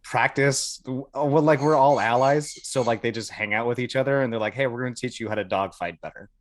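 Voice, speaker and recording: A man's voice: medium pitch, brisk, no echo. An American man casually telling stories as a podcast guest, speaking informally with some swearing and acted-out bits of dialogue. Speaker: podcast guest, Air Force veteran. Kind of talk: casual storytelling.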